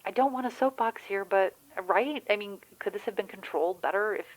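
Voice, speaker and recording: A woman's voice: mid-range voice, fairly quick, lightly acted, poor audio. A woman playing a chatty, wry, anxious friend in a naturalistic audio drama, rambling candidly with the odd swear. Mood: uneasy, thoughtful. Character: chatty, wry, anxious Michigan woman on the phone during zombie outbreak.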